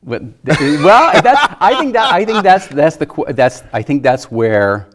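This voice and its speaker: A man's voice: a little high, animated, a little slow, background noise. A man in a casual discussion, giving his opinions hesitantly and circling before he lands on a point. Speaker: film discussion panelist.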